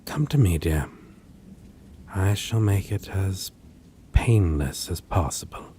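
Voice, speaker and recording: Vampire lead, male actor: low, husky and very slow, with theatrical swings, possessive and condescending, for audio roleplay. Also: lively intonation, warm tone, slight background noise. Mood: cold, solemn. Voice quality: husky, breathy. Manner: slow, theatrical, archaic wording, condescending endearments. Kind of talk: dramatic audio roleplay acting addressed to listener.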